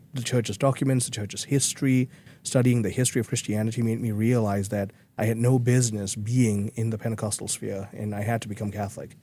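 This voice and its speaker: A man's voice: medium pitch, dark tone. A man from Malaysia, speaking earnestly as a guest in a podcast interview, with frequent restarts. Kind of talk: conversational interview.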